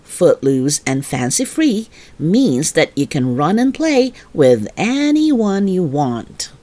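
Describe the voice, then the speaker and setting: A patient mother acted by a woman reader speaks lower and unhurried. She is soothing yet gently firm, reasoning as a children's storybook mom does. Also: big pitch swings. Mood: amused, soothing.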